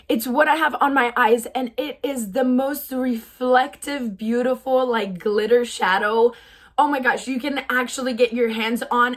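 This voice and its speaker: A young woman beauty vlogger with a raspy, tired voice, chatting casually about products, gushing and full of "like" fillers. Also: on the high side. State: voice tired from shouting. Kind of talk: casual product review chat.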